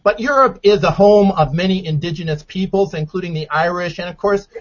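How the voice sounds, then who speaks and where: Measured male radio host, lo-fi sound. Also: high-pitched. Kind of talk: radio interview. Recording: dull lo-fi sound.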